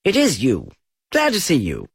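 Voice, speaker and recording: A man's voice: medium pitch, huge pitch swings, normal speed, creaky, slightly rough, dry recording, studio quality, pitched above the performer's own voice, heavily acted. A man voicing a fussy, cautious male rabbit who talks in a prim way.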